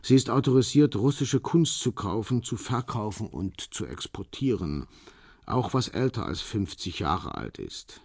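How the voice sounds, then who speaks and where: A shrewd Russian operative in a radio crime play. His voice is low and gravelly with an animated melody, and he stays formal, courteous and businesslike as he briefs his client. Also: unhurried, no room sound, studio quality, full character voice. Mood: businesslike briefing. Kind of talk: radio crime drama acting.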